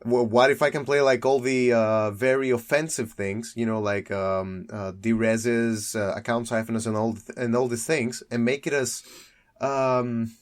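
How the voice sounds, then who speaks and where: A man's voice: medium pitch, steady tone, moderate pace. A young man from Greece, chatting as a guest about his card game deck, rambling and correcting himself with frequent laughs.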